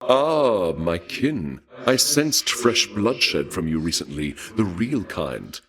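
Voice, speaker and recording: An actor playing a courtly god of war in a video game, speaking formally and with measured, suave menace and a taste for bloodshed. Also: male voice, fairly low pitch, big pitch swings, fairly bright tone, full character voice. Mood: suave, morbid relish. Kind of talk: theatrical video game character dialogue.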